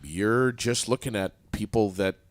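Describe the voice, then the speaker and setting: A man's voice: on the low side, a little slow, no room sound. A man hosting a casual radio interview in a studio, loose and joking, with some casual swearing. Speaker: radio host.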